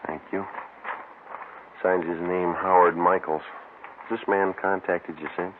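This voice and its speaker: An American actor playing a stoic police sergeant, narrating and questioning in a deadpan 1950s radio drama style. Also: male voice, deep voice, a little slow, a little hiss or hum. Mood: businesslike. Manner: deadpan, matter-of-fact. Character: stoic, clipped male police sergeant who narrates his cases. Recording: muffled old-time radio recording, music behind.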